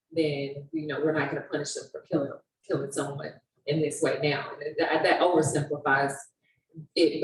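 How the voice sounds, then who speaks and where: A woman's voice: sits low, darkish tone. A woman answering on a panel in an earnest, conversational way, repeating words for emphasis. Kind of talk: impassioned, conversational panel commentary. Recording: echoey room, distant mic, faint noise.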